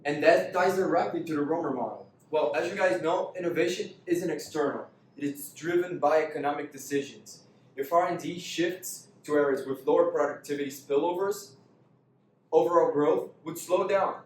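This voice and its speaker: A man's voice: fairly high pitch, moderate pace, a little hiss or hum, lightly acted. A young man playing an economist colleague who explains with some fillers, recorded from far off in a very echoey room. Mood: explaining.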